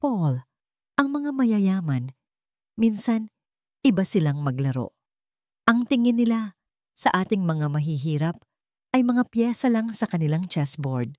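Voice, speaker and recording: A woman with a Filipino accent voicing a smooth male ex who feigns concern in story dialogue, earnest and measured. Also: mid-range voice, big pitch swings, darkish tone, no room sound, studio quality. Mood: earnest, insinuating.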